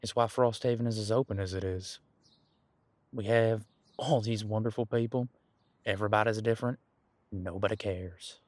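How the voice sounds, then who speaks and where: An easygoing ancient demon detective played by a man talks in a low voice, close and dry. He muses in a folksy, laid-back way, as if chatting with a companion in an audio roleplay. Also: steady tone, normal speed, pauses often, no echo, lightly acted. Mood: relaxed, musing. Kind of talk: conversational one-sided audio roleplay.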